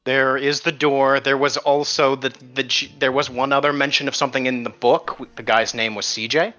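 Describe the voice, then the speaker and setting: A man's voice: mid-range voice, level tone, a little fast, bright, forceful tone, highs cut off. A man running a tabletop game as game master, casually narrating and recapping the story with some swearing.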